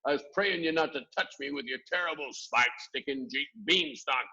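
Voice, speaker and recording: A man's voice: very animated, a little fast, vocal fry, bright, forceful tone, slightly rough, highs cut off, full character voice. A man voicing a huge male giant who bellows and shrieks in panic during a nightmare, in a storybook reading. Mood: begging. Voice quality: shrill, bellowing.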